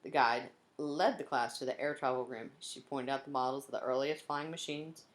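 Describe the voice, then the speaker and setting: A woman's voice: extremely low pitch, moderate pace. A woman narrating a children's storybook aloud expressively, like a teacher reading to young pupils.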